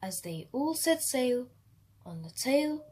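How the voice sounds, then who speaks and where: A woman reading a rhyming children's story aloud in an expressive, measured way. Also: sits high, husky.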